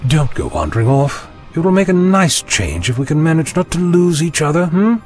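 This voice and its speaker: Breezy, fussy gentleman explorer played by a man, chatty, animated intonation, sci-fi audio drama. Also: a little high, moderate pace, faint music behind, lightly acted. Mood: musing. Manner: musing, chatty, whimsical. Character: curious, breezy, slightly fussy male time-travelling adventurer.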